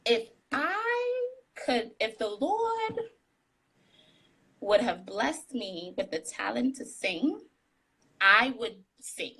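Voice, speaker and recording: Candid woman guest, bright with some fry, lively, on a live video call. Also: a little high, very slow, long pauses, rough recording. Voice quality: describes her own voice as tiny. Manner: candid, animated, laughs often. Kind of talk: casual interview chat. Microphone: phone on a live video call.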